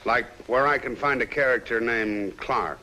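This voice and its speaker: An actor playing a portly, shrewd, easygoing private detective in a 1950s film noir. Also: male voice, mid-range voice, moderate pace, pushed and bright, lightly acted, low-quality recording. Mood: coaxing, firm. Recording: faintly hissy old film soundtrack.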